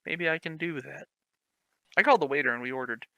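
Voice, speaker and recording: A man's voice: on the high side, quick pace, vocal fry, sharp tone, no echo. A man reading a clumsy fan story aloud, mocking it with sarcastic, swearing commentary. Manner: sarcastic, swears, laughs at the text.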